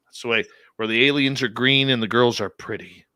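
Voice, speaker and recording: A man's voice: mid-range voice, fairly even intonation, a little fast, bright, forceful tone, clean tone. A man hosting a radio show, bantering and teasing his guests in a jokey way. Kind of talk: casual on-air banter.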